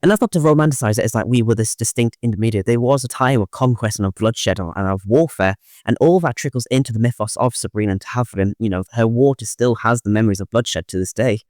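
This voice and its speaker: A man's voice: mid-range voice, quick pace, few pauses, a little mellow, dry recording, studio quality. Earnest young man from the Welsh borders. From Welsh borders (Shropshire and Powys). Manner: earnest, explanatory, laughs often. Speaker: podcast guest, author. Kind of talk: casual interview talk.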